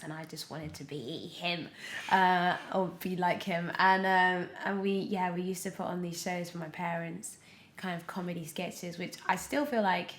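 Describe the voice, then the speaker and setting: A young British woman chatting casually as a podcast guest, telling stories in an animated way peppered with "like". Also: lowish voice. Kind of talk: casual interview chat. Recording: roomy, echoey sound.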